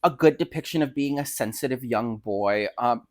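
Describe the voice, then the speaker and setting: A man's voice: mid-range voice, normal speed. Opinionated American man chatting about books. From United States. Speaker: podcast co-host. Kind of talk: casual book chat.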